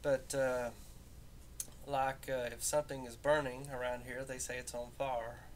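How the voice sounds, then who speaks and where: A man speaks casually with a softened Southern Appalachian accent at a middling pitch. His delivery is folksy and halting, as in an unscripted personal talk. A faint rumbling noise sits behind the voice in what sounds like a home recording. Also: flat delivery. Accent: Southern Appalachian American, somewhat softened. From rural Southern United States, southern Appalachia.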